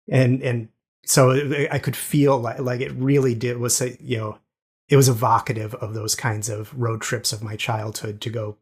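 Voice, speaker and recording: A man in a casual podcast interview speaks with a slightly husky voice. He talks eagerly and loosely, circling back to repeat his praise. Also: medium pitch. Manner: enthusiastic, rambling praise.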